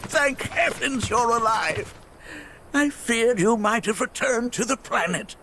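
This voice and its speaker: An actor playing a kindly, absent-minded old sage in a video game, rambling and musing theatrically. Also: male voice, very high voice, unhurried, sharp tone, slight background noise, heavily acted. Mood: relieved. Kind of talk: video game character acting.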